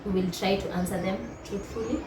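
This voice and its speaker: A young woman whose first language is Swahili chats casually as a co-host, warm and quick to laugh, often repeating words as she looks for them. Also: mid-range voice, animated, over a noisy crowd. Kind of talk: casual chat. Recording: roomy, distant mic, noisy.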